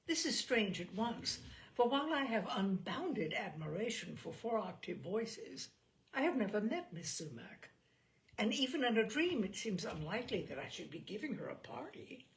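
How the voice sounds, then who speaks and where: A woman hosting a reading series, speaking in an expressive, deliberate, theatrical storytelling style. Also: mid-range voice. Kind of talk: expressive literary reading with chatty introduction.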